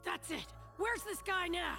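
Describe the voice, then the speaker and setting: A woman voicing a brash, hot-headed teenage boy ninja in an anime dub, forceful and impatient. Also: extremely high pitch, unhurried, vocal fry, faint music behind, full character voice. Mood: eager, urgent.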